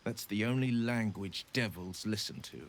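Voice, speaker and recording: A man's voice: fairly low pitch, normal speed, slight background noise, natural voice. A man playing a horned, pact-bound warlock hero in a video game, speaking with solemn, eloquent, theatrical earnestness. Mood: resolute. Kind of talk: dramatic video game acting.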